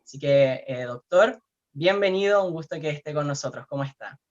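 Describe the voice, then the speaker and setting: A man's voice: fairly high pitch, lively intonation, moderate pace, highs cut off. A man with a Chilean Spanish accent co-hosting an online forum, speaking hesitantly with many fillers and tag questions. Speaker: co-host.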